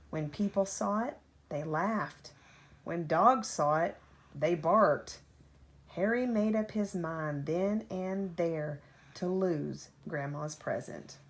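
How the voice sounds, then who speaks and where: A woman reading a children's picture book aloud like a parent at bedtime, with expressive, deliberate phrasing and drawn-out key words. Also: on the low side. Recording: home recording with faint background noise.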